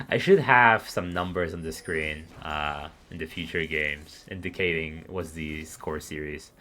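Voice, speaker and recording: A man casually commentating a live esports match on his stream, relaxed and laughing often. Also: a bass voice, takes it slowly, slight background noise.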